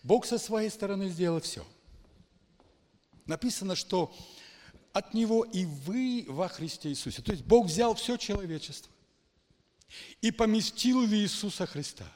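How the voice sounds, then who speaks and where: A man preaching in a church hall, his higher voice ringing out with a long reverb. He swings widely in pitch, dropping to hushed tones and then shouting his exclamations. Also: animated, unhurried, pauses often. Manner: emphatic, dramatic swings from quiet to shouting. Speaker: pastor. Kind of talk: charismatic sermon.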